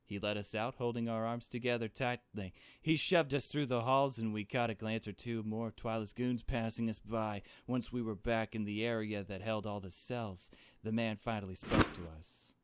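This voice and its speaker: A man's voice: on the low side, fairly even intonation, normal speed, clean tone, no room sound. A man reading visual novel narration in a dramatic storytelling style. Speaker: visual novel voice-over reader. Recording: music under the voice. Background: game music.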